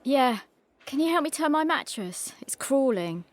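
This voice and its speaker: A woman's voice: high-pitched, big pitch swings, a little slow. Frank, streetwise young Georgian London woman. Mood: casual. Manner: frank, plain-spoken. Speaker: actor and narrator in an audio drama. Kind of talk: audio drama dialogue and first-person narration.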